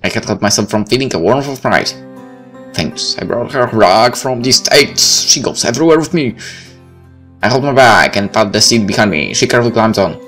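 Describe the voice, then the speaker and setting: Animated man. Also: fairly low pitch, moderate pace. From Poland. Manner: expressive, blunt, swearing. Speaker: let's play host. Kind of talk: reading visual novel text aloud with off-the-cuff reactions. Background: game music.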